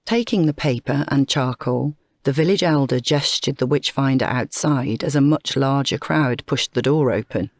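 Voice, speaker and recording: A woman's voice: extremely low pitch, moderate pace, hardly any pauses, no echo, clean studio sound. A woman reading a scripted story as a dramatic narrator.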